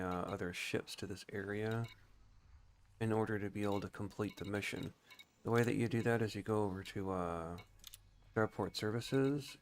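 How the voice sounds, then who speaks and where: A man giving casual, explanatory video game commentary, talking informally and thinking aloud as a streamer. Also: lowish voice.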